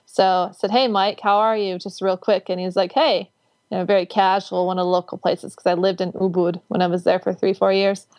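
Chatty woman host, flat delivery. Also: mid-range voice, a little fast, dry recording. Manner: effusive, rambling with many fillers and restarts. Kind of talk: casual interview chat.

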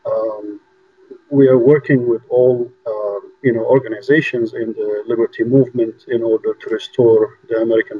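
A man's voice: lowish voice, breathy edge. Hesitant man on a dull phone line. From Egypt. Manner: halting, many fillers. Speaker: guest. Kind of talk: interview over the phone. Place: home in New York.

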